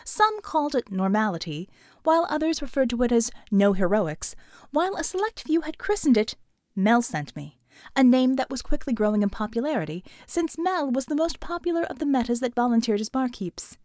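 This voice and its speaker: A woman narrating a scripted audiobook novel in a measured, expressive storytelling manner. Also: on the high side, no room sound, studio quality.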